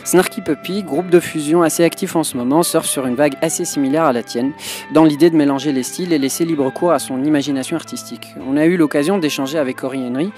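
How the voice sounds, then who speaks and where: A male radio interviewer reading out prepared questions in a formal, measured way. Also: fairly high pitch, a little mellow, faint music behind.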